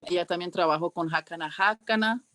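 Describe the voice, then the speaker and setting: A woman speaking as a guest in a casual interview on an online call, halting as she searches for words. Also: lowish voice, pushed and bright, dry recording. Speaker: interview guest, cultural organiser.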